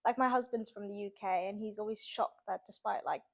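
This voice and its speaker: A woman's voice: mid-range voice, fairly even intonation, brisk. A young woman from Sweden, chatting casually as an author guest in an interview and using lots of "like" fillers.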